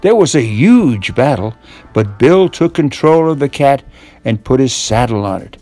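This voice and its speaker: An older man reads a tall tale as a bedtime story, expressive and deliberate, drawing out key words. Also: mid-range voice. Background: guitar music.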